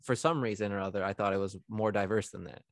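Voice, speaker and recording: A man's voice: on the low side, fairly even intonation, fast. A young man hosting a podcast, chatting in a laid-back, slangy way full of fillers. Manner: laid-back, slangy, lots of likes and you knows. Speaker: podcast host. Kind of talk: casual conversational interview.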